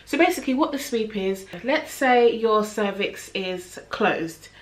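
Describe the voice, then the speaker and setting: A woman's voice: on the high side, animated, unhurried. Young woman, nasal and stuffy from a cold, casual rambling home vlog. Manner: chatty, rambling, many fillers. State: congested with a head cold. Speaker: vlogger. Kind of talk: casual pregnancy update vlog. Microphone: camera in front of her.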